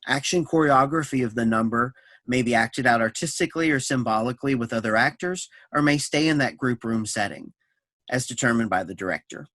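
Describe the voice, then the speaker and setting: A man's voice: medium pitch, fairly quick, fairly bright tone, dry recording. A man reading scripted stage directions and scene summaries for a staged play reading.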